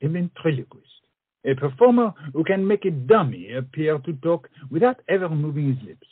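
An actor playing a witty French friend and mentor in a stage comedy, speaking in a flowery, coaxing, theatrical way. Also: male voice, on the high side, big pitch swings, moderate pace, husky, no room sound, phone-quality sound. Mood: scheming, confident.

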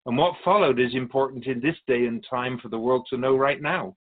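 Man as measured, solemn keeper of souls. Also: mid-range voice, narrow, tinny audio, close to an everyday voice. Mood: calm, instructive. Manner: measured, didactic, formal. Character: calm otherworldly male soul keeper, earnest storytelling spirit. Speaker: interviewee. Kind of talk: radio drama dialogue.

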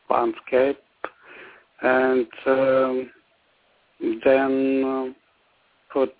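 A middle-aged man from Bulgaria with a Bulgarian accent, giving halting, slow answers with many fillers as an interview guest. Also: medium pitch, dry recording. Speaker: guest artist. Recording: tinny phone line.

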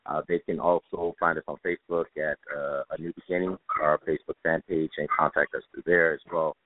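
A man speaking as an interview guest, casual and informative, with halting delivery. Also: extremely low pitch, little pitch movement. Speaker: guest, stage actor. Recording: roomy tinny phone line.

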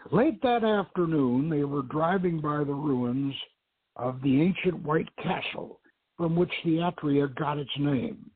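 A man's voice: a little high, lively intonation, takes it slowly, slightly rough. Man hosting on muffled, narrow-band radio. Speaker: show host and novelist reading own story. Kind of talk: radio show introduction and dramatic reading.

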